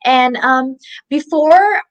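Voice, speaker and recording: A woman's voice: high-pitched, drawn-out words, dull audio. A woman in her thirties from the Philippines, a guest telling her story in a casual, chatty interview with many restarts.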